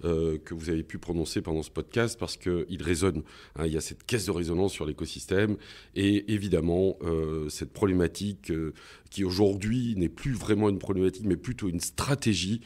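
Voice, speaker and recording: A man's voice: low voice, moderate pace, dark tone. A man hosting a podcast, speaking in a semi-formal, courteous way as he addresses guests and listeners. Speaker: podcast host.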